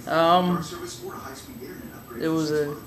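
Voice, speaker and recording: A young woman with a raspy, hoarse voice telling a personal story in a relaxed, rambling way with many fillers. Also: sits low, extremely slow, a crowd in the background. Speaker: vlogger. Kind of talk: casual personal storytelling to camera.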